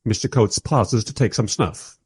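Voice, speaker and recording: A man playing a wry, politely exasperated film director who narrates a radio play in conversational asides with a husky voice. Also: on the low side, moderate pace, a little mellow, no echo, studio quality. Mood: dry, amused.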